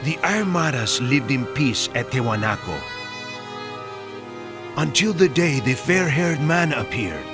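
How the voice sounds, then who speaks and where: An actor playing an ominous teller of ancient legend, narrating a video game with portentous delivery. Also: male voice, mid-range voice, expressive, a little slow, music in the background, natural voice.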